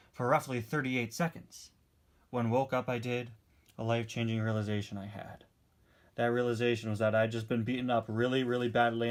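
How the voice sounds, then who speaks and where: A man voicing a meek reformed cartoon rival who pleads for friendship in halting, inverted sentences. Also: mid-range voice, close to an everyday voice. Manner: halting, inverted word order. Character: former angry rival, now meek, pleading friend-seeker.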